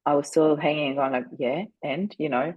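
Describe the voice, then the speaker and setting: A woman in her forties from Austria, a German speaker, who talks as a podcast guest and tells her story earnestly and deliberately, slowing down on key moments. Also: low-pitched, slightly rough, dry recording, dull audio. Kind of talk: reflective storytelling.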